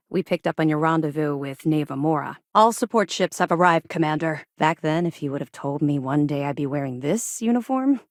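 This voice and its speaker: A dutiful female military officer from a space video game. Her voice sits a little low and is recorded very dry. She speaks frankly, switching between crisp service formality and wry, informal candor. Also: no room sound. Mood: candid, reflective.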